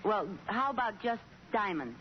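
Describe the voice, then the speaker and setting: Scheming playful housewife, very lively delivery, exaggerated reactions, old radio sitcom, muffled noisy recording. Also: female voice, medium pitch, a little slow. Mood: wheedling. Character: excitable, scheming young wife, playful and sentimental. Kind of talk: 1940s radio sitcom acting.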